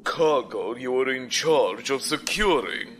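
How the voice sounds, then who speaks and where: Sci-fi audio drama: ruthless imperial commander played by a man, formal, controlled menace, mid-pitched, faint noise behind. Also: lively intonation, slow pace, fairly bright tone. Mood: cold command. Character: calculating, ruthless male station commander in a space empire. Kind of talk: science fiction audio drama acting.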